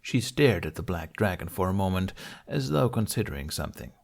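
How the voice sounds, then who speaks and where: A man narrates fiction for an audiobook. His voice is fairly low with a noticeable creak. He reads scripted prose as a storyteller. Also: very animated, fairly quick.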